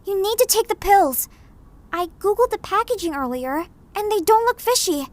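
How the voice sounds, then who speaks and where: A woman's voice: very high-pitched, expressive, normal speed. A woman voicing an anxious, stubborn young girl in an emotional, earnest manga dub performance. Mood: frantic, pleading. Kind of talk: anime-style manga dub acting.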